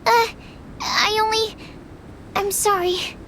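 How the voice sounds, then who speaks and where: A woman's voice: very high voice, steady tone, slow pace, pushed and bright, slight background noise, heavily acted. A woman voicing a timid, earnest, apologetic young female alchemist, polite and hesitant, in a video game. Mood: sheepish.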